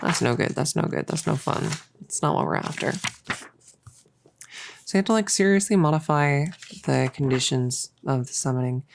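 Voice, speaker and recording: A very low, creaky-voiced young cultist played by a woman in an intimate audio roleplay. She is bossy and possessive, with a giddy, playful smugness, and rambles casually as if thinking aloud. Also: moderate pace, a little hiss or hum. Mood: rambling, smug explaining. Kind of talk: intimate roleplay audio, improvised-sounding monologue.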